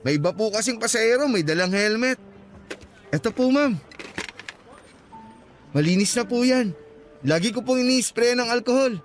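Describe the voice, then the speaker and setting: Casting: a very high-voiced, polite young male rider, faint music behind. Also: expressive, moderate pace, pauses often. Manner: courteous, deferential. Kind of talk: radio drama acting.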